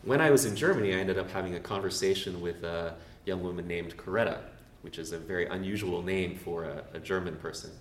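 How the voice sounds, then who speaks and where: Low-voiced man speaking far off in a roomy hall. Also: a little fast. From Canada. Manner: casual and self-deprecating, with many fillers. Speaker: presenter. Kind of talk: church talk with slides. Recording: roomy, far from the mic, faint noise.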